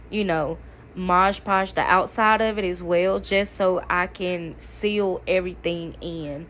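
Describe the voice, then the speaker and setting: A woman, stuffy from a cold, chatting casually through a DIY craft tutorial. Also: mid-range voice. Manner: chatty, addresses viewers as y'all. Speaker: craft video creator. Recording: faint background noise.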